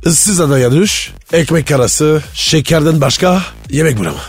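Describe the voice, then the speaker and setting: Lively male sidekick from France over music. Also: a little high, moderate pace, husky. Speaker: radio show sidekick co-host. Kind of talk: casual comic banter. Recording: music bed behind the voice.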